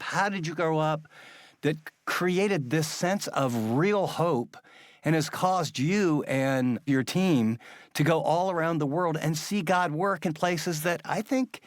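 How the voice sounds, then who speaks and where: A man with a fairly high-set voice hosts a religious interview. He talks in an earnest, reflective, conversational way. The sound is dry, with very little room in it. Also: no echo. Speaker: interview host.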